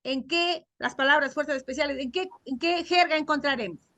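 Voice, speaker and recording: A woman teacher giving an online class over a video call, quizzing her students and drawing out key words slowly. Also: sits high, pushed and bright, no echo.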